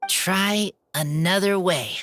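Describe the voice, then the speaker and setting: A woman voicing a shy, earnest feral teenage boy in a video game, speaking in halting simple phrases. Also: lowish voice, a bit bright, a little hiss or hum. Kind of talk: English video game voice acting.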